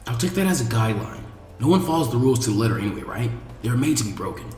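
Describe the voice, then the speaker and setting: A man's voice: medium pitch, very animated, very fast, darkish tone, roomy sound, big-room sound, voice pushed down, natural voice. A man voicing an impulsive boy ninja in a story reading, musing informally and with open emotion. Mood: scornful.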